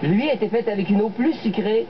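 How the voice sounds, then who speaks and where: A man's voice: high voice, expressive, brisk, noisy background. A man with a Quebec French accent explaining things casually as an outdoor project organizer. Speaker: filmmaker, urban sugar bush organizer. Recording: dull sound, loud music behind.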